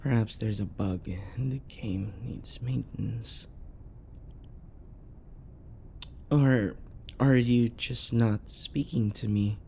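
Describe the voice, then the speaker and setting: A man playing an unhinged, possessive yandere predator lover in ASMR roleplay, often slipping into breathy whispers and a menacing croon. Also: mid-range voice, slow, dark tone, a little hiss or hum. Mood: wounded, pleading.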